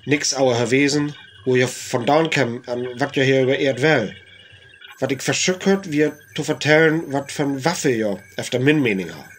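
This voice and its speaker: A man's voice: medium pitch, normal speed, slight background noise, natural voice. A man acting a professor in a radio play, cautiously explaining and speculating in an interview, with a North Frisian accent. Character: male professor cautiously explaining alien invaders' weapons.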